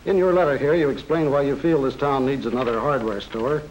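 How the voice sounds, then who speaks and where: An actor playing a courteous, methodical small-town bank loan officer in a 1940s educational film, speaking in a formal, measured, businesslike way. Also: male voice, medium pitch, steady tone, natural voice. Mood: businesslike, approving. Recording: noisy 1940s film soundtrack.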